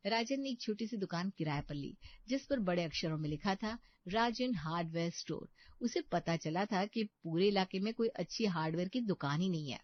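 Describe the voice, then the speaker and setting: Low-pitched woman narrating a story, dull sound. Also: animated, normal speed, no echo.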